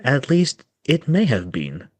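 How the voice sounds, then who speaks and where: A man's voice: mid-range voice, wildly swooping pitch, takes it slowly, a little mellow, no echo. A man narrating a storybook dramatically as part of a group reading.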